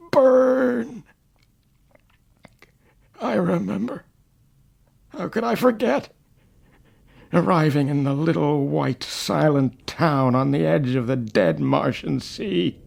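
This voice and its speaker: An actor playing a lonely, anguished man of eighty stranded on Mars, halting and haunted, in a radio drama. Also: fairly high pitch, creaky, husky, exaggerated voice. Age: 80s, as played. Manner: halting, haunted, swinging from murmurs to outbursts. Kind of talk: dramatic radio acting, reminiscing monologue. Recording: noisy radio drama recording.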